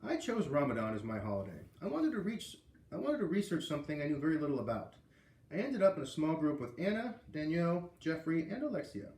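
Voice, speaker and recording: A man's voice: on the high side, big pitch swings, fairly quick, a little mellow, reverberant. A man with an American accent reading a children's book aloud, with casual asides. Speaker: read-aloud reader.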